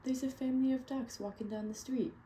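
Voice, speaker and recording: A woman voicing an excited police officer yelling urgently in a storybook. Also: high-pitched, fairly quick, a little hiss or hum, voice pushed up, close to an everyday voice.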